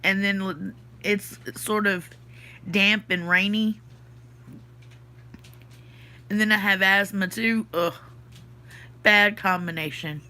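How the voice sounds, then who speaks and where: A woman's voice: mid-range voice, steady tone, takes it slowly, long pauses, bright, forceful tone. A woman from the Southern US with a Southern accent, stuffy from her sinuses, chatting casually on a livestream and answering comments from her chat. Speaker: podcast host reading live chat.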